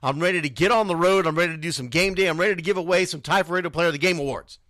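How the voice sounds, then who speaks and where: Guy doing sports radio talk, blunt, punchy, bright voice, kinda high, dry sound. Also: rapid-fire, no echo. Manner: blunt, emphatic, repeats phrases for stress. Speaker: youth football radio show host. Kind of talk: informal sports talk monologue.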